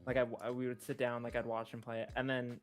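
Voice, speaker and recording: A man's voice: medium pitch, flat delivery, fast talker. A man co-hosting a podcast, chatting informally about games and using "like" often. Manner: informal, conversational, uses "like" often. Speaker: podcast co-host. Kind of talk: casual chat about games. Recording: background music under the talk. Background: background music.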